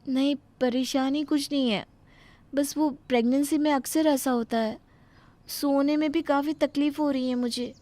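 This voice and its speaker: A woman's voice: sits high, steady tone, moderate pace, natural voice. A woman voicing a weary young pregnant wife. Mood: uneasy. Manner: soft, fretful complaints. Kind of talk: cartoon dubbing.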